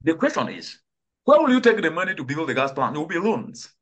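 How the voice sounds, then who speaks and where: A man's voice: a little high, big pitch swings, fast, fairly bright tone. A man from Cameroon, a climate expert, answering interview questions earnestly and with rhetorical questions. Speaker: guest, climate change expert.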